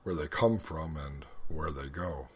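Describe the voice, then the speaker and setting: A man leading a guided meditation as an instructor, speaking in a measured, instructional way with deliberate pauses. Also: very deep voice, slight background noise.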